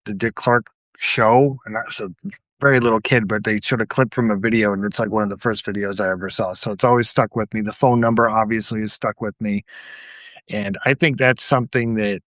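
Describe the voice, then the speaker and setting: A man's voice: fairly low pitch, steady tone, fairly quick, fairly bright tone, dry recording. A man co-hosting a podcast, chatting casually about music. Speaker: podcast co-host.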